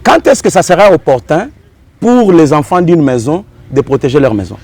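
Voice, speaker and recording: A man's voice: on the high side, sing-song, normal speed, darkish tone, no room sound. A man with a Congolese accent arguing insistently as an interview guest, repeating phrases and posing rhetorical questions. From Democratic Republic of the Congo. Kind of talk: political and legal argument in a TV interview.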